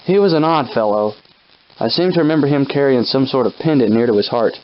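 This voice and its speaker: Guy doing a folksy old timey soldier sidekick for a video game, mid pitched, plucky but rattled, kinda muffled lofi audio. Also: a little fast, a little hiss or hum, natural voice. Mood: calm, explaining. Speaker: game character companion. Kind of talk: scripted video game character acting, old-time dialect.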